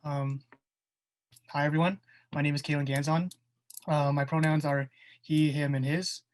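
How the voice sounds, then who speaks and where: Young man, flat and slightly high. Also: normal speed. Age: young adult. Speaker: college student, club officer. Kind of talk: casual podcast chat.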